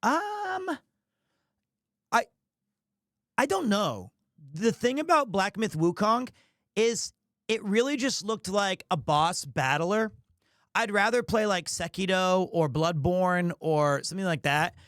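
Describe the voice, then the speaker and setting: High-voiced man chatting on a livestream. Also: lively intonation, slow pace, long pauses, fairly bright tone, no echo, clean studio sound. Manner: rambling, opinionated, playfully defensive. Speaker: live streamer, host of the show. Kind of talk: casual livestream chat with viewers.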